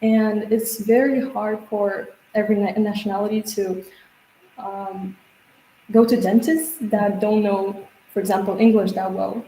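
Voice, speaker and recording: A woman's voice: mid-range voice, little pitch movement, measured pace, mellow. A teenage girl from Kazakhstan answering speaking exam questions in a hesitant way, with many fillers and restarts. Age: teens. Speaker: exam candidate. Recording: echoey room with faint noise.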